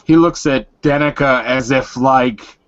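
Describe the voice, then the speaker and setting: A man's voice: mid-range voice, measured pace, dry recording, muffled recording. A man casually improvising narration as a player in a tabletop roleplaying game.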